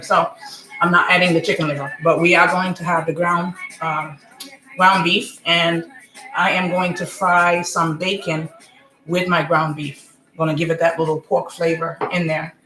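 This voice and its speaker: A woman in her forties from Senegal hosting a casual live cooking stream from her home kitchen, chatty and rambling, often asking "okay?", with a slightly hoarse voice. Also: on the low side, roomy sound, low-quality recording. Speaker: home cook, channel host. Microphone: computer or phone camera mic.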